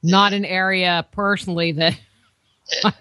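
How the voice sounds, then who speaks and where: A woman's voice: on the low side, fairly even intonation, a little slow, bright, forceful tone, smooth, dry recording. A woman hosting a radio interview show, asking questions in a chatty, conversational way. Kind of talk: casual interview chat.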